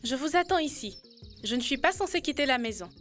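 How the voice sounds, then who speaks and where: A young girl in a children's cartoon. The voice sits high, with music clearly behind it. She speaks earnestly and expressively, anxious to show she can cope. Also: lively intonation, brisk, a bit bright. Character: young girl, anxious to prove she's grown up. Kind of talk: animated children's cartoon dub.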